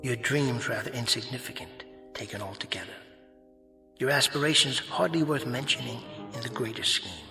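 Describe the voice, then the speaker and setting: An actor playing an eloquent, sardonic spirit of fate in a radio drama, speaking in grandiose, wordy phrases. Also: male voice, mid-range voice, normal speed, creaky, sharp tone. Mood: lofty, grave. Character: eloquent, mocking male spirit of fate and conscience. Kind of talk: vintage-style radio drama acting. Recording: reverberant hall-like echo effect, faint music.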